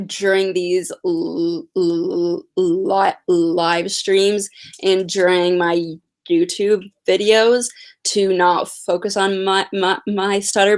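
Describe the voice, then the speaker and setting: A nineteen-year-old woman who stutters, chatting slowly and casually on a livestream as she answers viewer questions. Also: fairly low pitch. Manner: stutters with repeated sounds and blocks. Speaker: livestream host.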